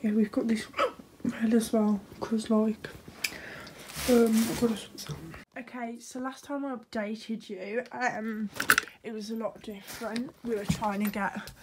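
A woman's voice: a little high, steady tone, measured pace. A teenage girl chatting casually in a home vlog from her bedroom, rambling with plenty of fillers. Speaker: vlogger. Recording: noisy home video.

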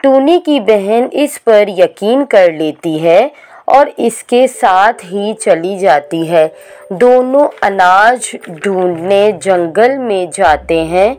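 A woman narrating a children's cartoon story in a slow, even storytelling manner. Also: mid-range voice.

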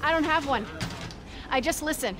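An actress plays a determined young American news reporter in a game, fairly high in pitch. She is direct and assertive, with clear street and vehicle noise behind her. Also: normal speed, close to an everyday voice. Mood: persuasive. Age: young adult. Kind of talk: video game cutscene acting. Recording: noisy city street ambience, vehicles.

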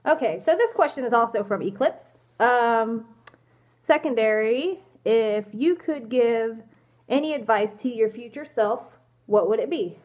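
Young woman, congested, hesitant, apologetic, slightly high voice, phone at arm's length. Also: animated, measured pace, darkish tone. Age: young adult. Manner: hesitant, lots of ums, apologetic. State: congested from a cold. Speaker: fursuit vlogger answering questions. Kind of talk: casual Q&A vlog. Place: small indoor room, in costume. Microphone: phone camera at arm's length.

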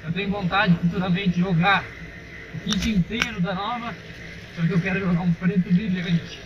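A young Brazilian man with a fairly high voice talks casually to his viewers as he rides. The sound comes from a dull, muffled helmet camera, with steady engine and wind noise loud behind him. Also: normal speed. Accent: Brazilian Portuguese. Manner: chatty, addresses viewers as "rapaziada". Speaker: motovlogger. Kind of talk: casual motovlog while riding. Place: outdoors on a dirt road, riding a motorcycle. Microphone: helmet-mounted action camera.

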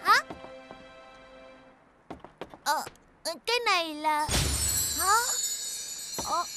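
A performer voicing an excitable, emotional small boy in a dubbed children's cartoon, wide-eyed and expressive. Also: very high-pitched, takes it slowly, over loud music. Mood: amazed, thrilled.

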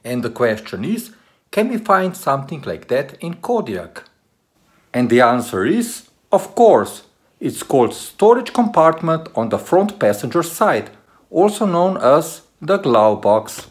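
Animated man vlogger, lively pitch swings. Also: unhurried. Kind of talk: presenting to camera and narrating a car tech video.

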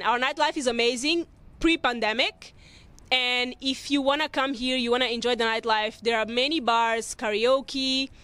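Young woman, higher-pitched, casual vlogger. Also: fairly bright tone. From Maputo, Mozambique. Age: young adult. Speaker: vlog host. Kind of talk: casual vlog answering viewer questions. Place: open city rooftop, outdoors. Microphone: handheld earphone mic near mouth.

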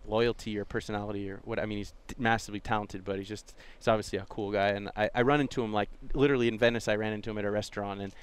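A man in his thirties, a racing driver, chatting casually and telling animated stories with quick asides. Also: on the low side, clean tone. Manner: animated storyteller, quick impressions and self-deprecating asides. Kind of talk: casual chat. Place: outdoors in sunny yard.

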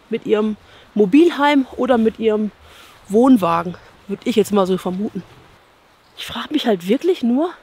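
A woman's voice: on the high side, a little mellow, breathy edge. A woman in her thirties with a German accent, vlogging as she walks through an abandoned campsite outdoors, musing aloud and often asking viewers to agree. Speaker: urban exploration vlogger. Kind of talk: casual walking commentary to viewers. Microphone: action camera worn on chest.

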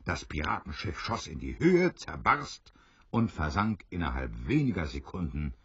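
A man narrating an adventure radio play as a grave, expressive storyteller who builds suspense. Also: deep voice, moderate pace, husky, no room sound, exaggerated voice. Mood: dramatic. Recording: slightly dull, dry studio recording.

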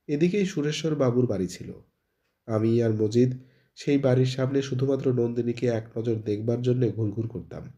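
A man narrating a short story with a mellow, warm tone. He slips into the characters' lines as he reads, carrying the dialogue without leaving the narration. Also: medium pitch, moderate pace. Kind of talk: scripted story reading.

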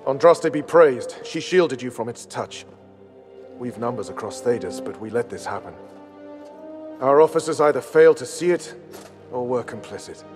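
A man's voice: mid-range voice, expressive, moderate pace, a little mellow, natural voice. A man as a grave, devout knight. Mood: grave, regretful. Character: grave, honorable knight officer, devout and remorseful. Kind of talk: video game dramatic acting. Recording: clear dramatic music underneath.

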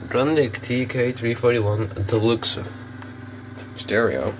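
A man giving a casual, unscripted hands-on demonstration in a low, creaky voice, recorded on a handheld camera microphone. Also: steady tone, slow, very noisy. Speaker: hobbyist video maker. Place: small indoor workroom.

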